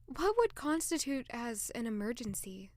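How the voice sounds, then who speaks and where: A woman's voice: a little high, very animated, moderate pace, dry recording, studio quality. A woman playing an anxious, earnest young maid turned lady-in-waiting in an audio drama, introspective in manner. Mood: polite, hesitant. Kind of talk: audio drama dialogue and first-person narration. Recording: music behind the voice.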